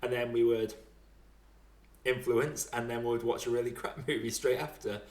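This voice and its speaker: Low-voiced young man, echoey room. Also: fairly even intonation, moderate pace. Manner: chuckles often while talking, informal swearing. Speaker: podcast host and film reviewer. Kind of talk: casual podcast chat.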